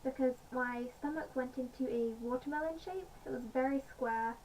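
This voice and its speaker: A woman with a slightly croaky voice, chatting casually in a home vlog with many pauses and fillers. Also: high voice, a little mellow. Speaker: vlogger. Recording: distant mic, faint background noise.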